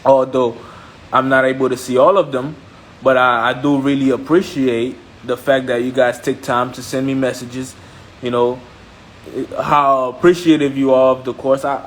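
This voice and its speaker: A man's voice: mid-range voice, steady tone, normal speed, rough recording. A man from Haiti speaking as a trading mentor on a live stream, blunt and emphatic, repeating points and checking in with listeners. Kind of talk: motivational live-stream talk.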